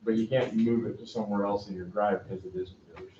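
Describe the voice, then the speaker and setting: A man teaching a math class, giving loud, direct, brisk instructions to his students. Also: fairly low pitch, fairly even intonation, slight background noise. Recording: echoey classroom, dull lo-fi sound.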